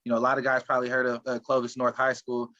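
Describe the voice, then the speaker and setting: Podcast host, man, quick and casual questioner, muffled lo-fi sound. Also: medium pitch, monotone, bright, forceful tone. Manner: quick, loose questioning with fillers. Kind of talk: casual interview. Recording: dull lo-fi mic.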